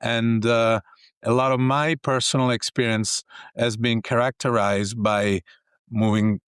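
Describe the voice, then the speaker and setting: Italian-accented man, smooth and dry. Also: medium pitch, flat delivery, fairly bright tone, no room sound. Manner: thoughtful, pausing, non-native grammar. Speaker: executive coach and professor. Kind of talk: conversational interview answers. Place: home office, video call.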